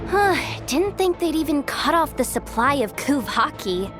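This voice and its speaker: A woman voicing a plucky, self-doubting young female mechanic in a video game, with an expressive, chatty delivery. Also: high-pitched, unhurried, over music. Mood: pondering. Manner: expressive, chatty, hesitant when thinking aloud. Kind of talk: animated video game voice acting.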